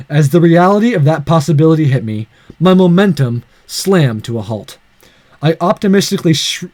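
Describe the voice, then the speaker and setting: A man with a slightly high voice plays through a visual novel, reading it aloud expressively. He drops casual, sweary asides as he goes, and music plays behind him. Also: darkish tone. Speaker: let's player.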